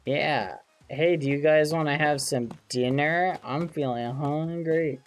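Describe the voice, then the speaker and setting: A woman voicing a sly, hungry male fox who talks slowly. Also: sits low, soft background music.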